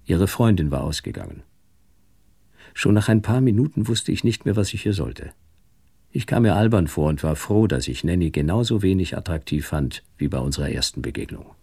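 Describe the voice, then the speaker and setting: Deep husky guy narrating his own story in a radio play, detached, very lively intonation. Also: moderate pace, no room sound, clean studio sound, heavily acted. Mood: wry, detached. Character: worldly, detached married man recounting his own story. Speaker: narrator and male lead. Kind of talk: first-person radio drama narration and dialogue.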